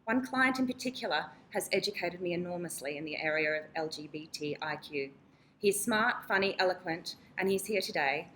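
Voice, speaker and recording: Female nurse presenter, bright forceful voice, personable storytelling to an audience, noticeable background noise. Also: fairly low pitch, animated, a little fast, low-quality recording. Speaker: nurse, panel interviewer. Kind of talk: prepared talk to an audience. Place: event room with an audience.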